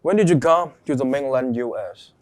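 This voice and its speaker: A man's voice: medium pitch, moderate pace, darkish tone. Teenage boy reading interview questions. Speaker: student interviewer. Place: school studio.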